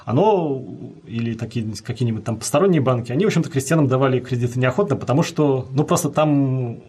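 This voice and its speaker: A man's voice: mid-range voice, fast, dark tone, slightly rough. A man answering as a history guest, explaining at length in a lecturing way with many fillers.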